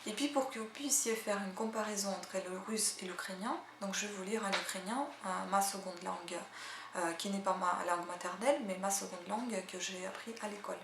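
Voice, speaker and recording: A woman's voice: mid-range voice, fairly even intonation, brisk, gravelly, background noise. Chatty woman. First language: Russian. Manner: chatty, hesitant, full of "euh" fillers. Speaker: language-learning channel host. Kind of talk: casual spoken language tips and read-aloud practice. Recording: echoey room.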